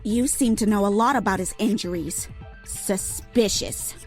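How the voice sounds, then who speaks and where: A woman's voice: medium pitch, a little slow, a little mellow, faint music behind, natural voice. A woman playing a suspicious, blunt young woman in an audio drama, speaking in clipped accusations. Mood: accusing.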